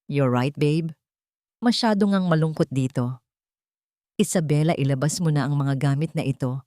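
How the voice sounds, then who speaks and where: A woman's voice: sits low, normal speed, mellow, dry recording, clean studio sound, natural voice. A woman voicing an arrogant, cold husband in an audio story, dismissive and commanding, with a Filipino accent. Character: arrogant, cheating husband, cold and domineering man.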